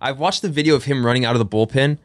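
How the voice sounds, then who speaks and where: A man's voice: medium pitch, no echo, clean studio sound. A young man co-hosting a casual sports chat, ranting about rival teams. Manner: quick, ranting, laughs along. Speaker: podcast co-host.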